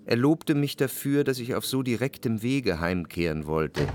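Man playing a reflective, expressive gentleman narrator. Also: medium pitch, moderate pace, close to an everyday voice. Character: reflective, uneasy gentleman narrator recalling his past. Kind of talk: literary first-person radio drama narration.

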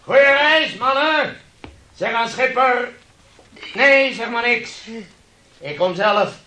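An actor in a Dutch radio play, playing a haughty, hard-nosed shipowner who lectures and scolds indignantly. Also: male voice, extremely high pitch, animated, slow pace, bright, forceful tone, a little hiss or hum, full character voice. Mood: curt.

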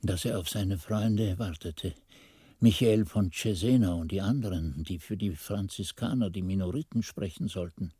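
An actor playing a reflective old monk who recalls his youth, musing and halting as if talking to himself, husky-voiced, in a radio drama. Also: sits low, warm tone, no room sound, clean studio sound. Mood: troubled recollection. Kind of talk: 1980s German radio drama narration.

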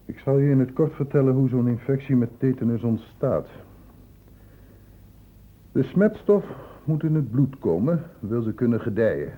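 An actor playing a patient, probing public-health doctor in a radio drama, explaining and questioning insistently. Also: male voice, mid-range voice, animated, moderate pace, close to an everyday voice. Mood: insistent, lecturing. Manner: insistent, explanatory questioning with short pointed prompts. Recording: dull lo-fi old radio play recording.

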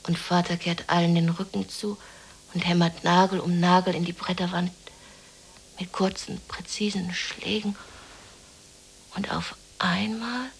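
An actress playing a lonely, depressed, dreamy woman in a radio play monologue, musing haltingly to herself in a breathy half-whisper. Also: fairly low pitch, flat delivery, a little hiss or hum, poor audio. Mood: haunted, remembering.

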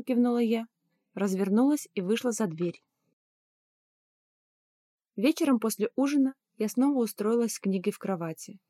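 A woman's voice: mid-range voice, dark tone, dry recording. Woman narrating fiction in a dry recording. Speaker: audiobook narrator. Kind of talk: scripted first-person fiction narration.

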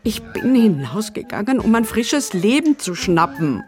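An actress playing a determined, wronged lover in an absurdist radio drama, insistent and literal-minded. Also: female voice, medium pitch, sing-song, a little slow, over music. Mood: resolute. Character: determined, wronged lover demanding her new life.

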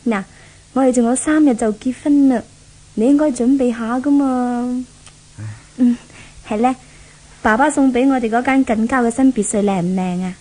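Casting: actress as wheedling rich fiancée, scheming and possessive, higher-pitched yet soft-toned, Hong Kong Cantonese, vintage radio play. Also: female voice, normal speed, long pauses, lightly acted, poor audio. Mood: coaxing. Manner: coaxing, wheedling. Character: spoiled, scheming rich young bride-to-be, coaxing and possessive. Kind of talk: 1970s Cantonese radio drama acting.

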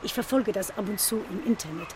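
A woman's voice: mid-range voice, quick pace, a little mellow, a little hiss or hum, close to an everyday voice. A woman playing a tour guide in a radio play, talking in an informal, conversational, anecdotal way. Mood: chatty, anecdotal. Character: chatty, informal female tour guide at a seaside visitor site. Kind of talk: casual guided-tour talk.

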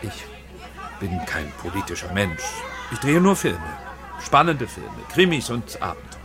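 An actor playing a guarded, evasive middle-aged film director in a German radio drama, answering carefully in short, clipped phrases. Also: male voice, lowish voice, big pitch swings, unhurried, crowd noise. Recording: crowded station bustle and music behind.

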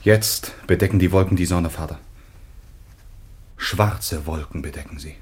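A man playing a devoted, grieving grown son in solemn radio drama, speaking earnestly with a husky voice. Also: sits low, a little slow, a little mellow, exaggerated voice. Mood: sorrowful reporting.

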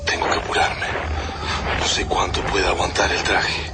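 A man's voice: low-pitched, monotone, normal speed, heavy background noise, close to an everyday voice. An actor playing a grieving, weary male survivor in a radio drama, brooding and talking to himself. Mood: tense, alarmed. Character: Juan, grieving man survivor in a protective suit, tense and weary. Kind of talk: radio drama acting, inner monologue. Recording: loud music bed behind voice. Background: loud music.

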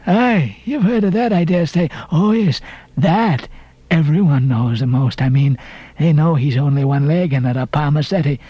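A man's voice: on the high side, normal speed, dark tone, husky, dry recording, highs cut off. A man voicing a ragged old sailor stranger in an audiobook, cryptic and derisive, with emphatic archaic seafaring talk. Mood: ominous, rambling. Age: older. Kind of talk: audiobook character reading.

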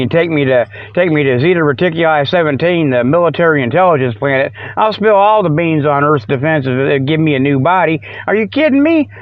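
A man hosting a radio show in a rambling, folksy, jokey monologue full of homespun exclamations. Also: a little high. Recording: muffled, dull lo-fi radio stream.

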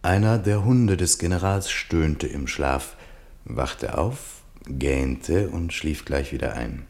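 An actor playing a solemn third-person narrator in a literary radio play, with measured phrasing. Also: male voice, a bass voice, animated, creaky, darkish tone, full character voice. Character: solemn male narrator of a general's dreams.

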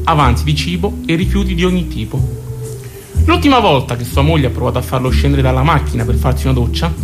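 A man giving scripted mock-documentary narration in a theatrical, mock-solemn manner. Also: a little high. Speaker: actor narrating comic character portraits. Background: music.

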